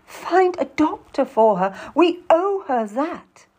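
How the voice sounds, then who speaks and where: A woman's voice: a little high, big pitch swings, measured pace, voice pushed up. A woman voicing a melodramatic, tearful young noblewoman who implores and schemes in an audiobook story. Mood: pleading.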